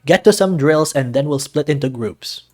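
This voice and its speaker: A man voicing a small, gruff but big-hearted soccer coach in a visual novel, with a loud, emphatic, barking delivery. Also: fairly high pitch, normal speed, soft background music, voice pushed up, lightly acted. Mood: exasperated, barking.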